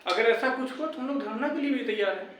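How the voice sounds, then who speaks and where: A man's voice: very high voice, lively intonation, quick pace, a bit bright, slight background noise. A young man, talking informally and insistently to his viewers, repeating his points as he gives advice and urges them on. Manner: insistent, repetitive, addresses viewers as brothers. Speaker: YouTube exam-update presenter. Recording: very echoey room, distant microphone.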